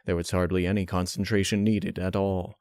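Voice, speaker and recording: Low-voiced male storyteller, close and dry. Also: little pitch movement, brisk, darkish tone, smooth, dry recording. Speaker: fan fiction reader. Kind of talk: dark audiobook-style narration.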